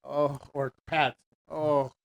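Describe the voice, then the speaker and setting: A man's voice: a little high, flat delivery, takes it slowly, creaky, dry recording, muffled recording. A man in casual, joking banter, full of exclamations and acted-out quotes.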